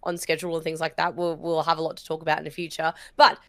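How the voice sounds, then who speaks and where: A woman's voice: deep voice, little pitch movement, fast, fairly bright tone, no room sound. A woman co-hosting a weekly gaming show, running through game releases in an easygoing chat. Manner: easygoing, laughs often, fillers. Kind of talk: casual chat and news rundown.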